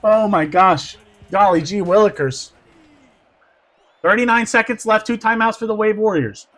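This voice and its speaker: A man doing play-by-play football commentary, going hoarse, who swings from quiet asides to shouted excitement. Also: high voice, moderate pace, faint music behind.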